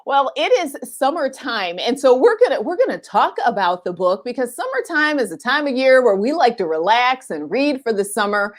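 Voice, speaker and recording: A woman with a fairly high-pitched voice hosts a casual live-streamed conversation. She is chatty and informal, often turning to address her audience directly. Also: big pitch swings, moderate pace, few pauses. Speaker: host of a live online book chat.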